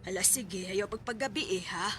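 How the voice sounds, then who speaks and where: A woman's voice: mid-range voice, moderate pace, natural voice. Woman playing a caring mother, noisy recording. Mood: concerned. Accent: Filipino. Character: caring, cautioning middle-aged rural mother. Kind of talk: Filipino radio drama acting. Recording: clearly noisy radio drama recording.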